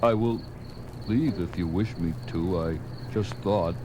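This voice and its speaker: A timid, devoted servant in a seventies audio drama. He speaks slowly and haltingly, pleading like a clumsy soul afraid to offend, with clear noise and insect ambience behind him. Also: low-pitched. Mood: gentle, pleading. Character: timid, devoted, clumsy male servant, gentle then terrified. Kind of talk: 1970s audio drama acting.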